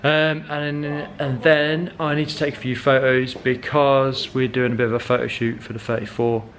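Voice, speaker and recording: A man's voice: mid-range voice, flat delivery, moderate pace, a bit bright, slight background noise. A young man chatting casually in a car vlog, rambling with many ums and correcting himself as he goes. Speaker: car vlogger.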